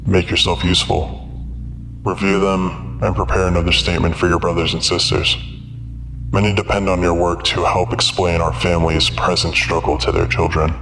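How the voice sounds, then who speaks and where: Stern cult patriarch played by a man: very low, flat delivery, formal and commanding, music prominent underneath. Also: rough recording. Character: stern, controlling male elder of a cult family. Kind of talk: scripted in-story monologue.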